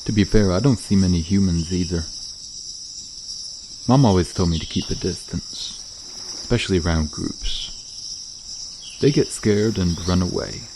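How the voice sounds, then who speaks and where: A man voicing a curious, gentle, shy mer-shark in an ASMR roleplay, speaking casually and hesitantly, often trailing off. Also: sits low, noisy background. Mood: resigned. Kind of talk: ASMR roleplay, soft conversational acting to the listener. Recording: nature ambience with chirping insects. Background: crickets and outdoor night ambience.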